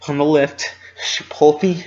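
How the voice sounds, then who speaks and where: A man reading fan fiction dramatically in the first person, with emotional, halting narration. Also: a little high, fairly even intonation, a little mellow, dull audio. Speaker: amateur story reader.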